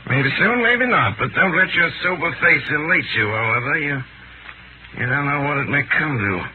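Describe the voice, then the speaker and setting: An actor playing a bitter, drunken, self-loathing gentleman in a 1930s radio drama, rambling in a sardonic, tipsy drawl. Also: on the high side, animated, moderate pace, lightly acted. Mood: drunken, brooding. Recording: noisy, muffled 1930s radio broadcast recording.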